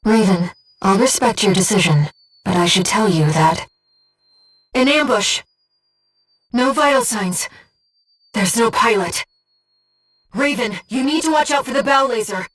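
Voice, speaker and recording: Game role: calm, faithful female voice-in-the-head for a pilot; mid-pitched, slightly husky, formal and exact. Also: recorded from a distance, low-quality recording. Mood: urgent alert. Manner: measured, earnest, precise, gently formal. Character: calm, devoted female disembodied voice guiding a pilot. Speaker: mission support companion. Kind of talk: scripted video game voice acting.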